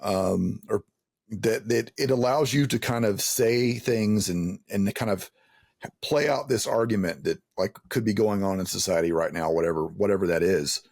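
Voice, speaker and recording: A man with a lowish, gravelly voice hosts a casual interview. He talks informally and haltingly, rambling as he works through a thought, and is recorded close and dry. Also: a little mellow, dry recording. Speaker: podcast interviewer.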